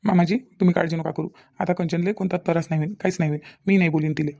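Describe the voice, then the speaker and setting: Man acting a high, quick conciliatory young husband. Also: fairly even intonation, vocal fry, dark tone. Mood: reassuring. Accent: rural Maharashtrian, Vidarbha. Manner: placating, reassuring, repetitive. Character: young village husband, soothing, conciliatory son-in-law. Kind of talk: Marathi comedy cartoon dialogue.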